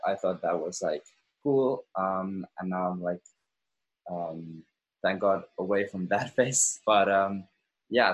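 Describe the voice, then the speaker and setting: A man's voice: fairly low pitch, husky, rough recording. An eighteen-year-old man from Finland, a podcast guest talking casually with lots of ums and hesitations. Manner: relaxed, many ums and hesitations. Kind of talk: casual interview chat.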